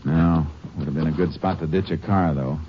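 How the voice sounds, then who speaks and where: An actor playing a calm, terse, dry-witted Texas Ranger in a 1950s radio drama. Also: male voice, extremely low pitch, moderate pace, background noise. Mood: matter-of-fact. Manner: terse, dry, matter-of-fact. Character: calm dry-witted male Texas Ranger investigator narrating his case. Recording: muffled vintage radio recording with music.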